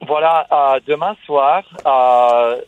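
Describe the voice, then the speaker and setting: A man's voice: medium pitch, slow pace, clear, resonant tone, no echo. Russian-speaking man on a tinny phone line. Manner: hesitant, many fillers and restarts. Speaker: cellist guest. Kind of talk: radio interview by phone.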